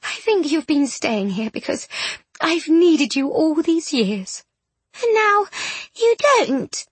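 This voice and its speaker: A woman's voice: high-pitched, sing-song, takes it slowly, dry recording, pitched above the performer's own voice, heavily acted. A woman voicing a tearful, pleading fourteen-year-old ghost girl in an audiobook, with a tight delivery. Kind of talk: audiobook character dialogue.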